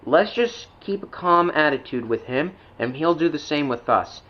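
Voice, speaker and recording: A man's voice: on the high side, expressive, dull audio, natural voice. Man voicing a sensible, polite tram engine. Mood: calm. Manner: measured, polite, reasonable. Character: calm, sensible male tram engine, peacemaking friend.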